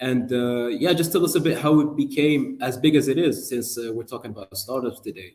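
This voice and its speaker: Guy hosting the interview, talks fast, kinda hesitant, mid-pitched. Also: darkish tone, echoey room. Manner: quick, hesitant questions. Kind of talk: interview questions.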